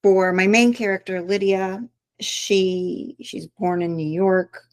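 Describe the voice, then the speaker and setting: A woman's voice: medium pitch, steady tone, slow, no room sound. A woman author being interviewed, speaking in a reflective, earnest way as she thinks aloud. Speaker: author guest. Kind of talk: interview conversation.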